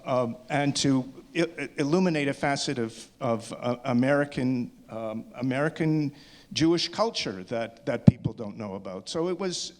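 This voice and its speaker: Halting man addressing an audience. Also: mid-range voice, a little mellow. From Canada. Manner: halting, often stammering over restarts. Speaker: filmmaker introducing a screening. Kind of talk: informal unscripted speech to an audience.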